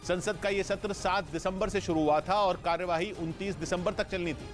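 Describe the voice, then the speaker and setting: A man reading a scripted news bulletin in a steady, formal newsreader delivery. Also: sits high, normal speed, soft background music. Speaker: news reader.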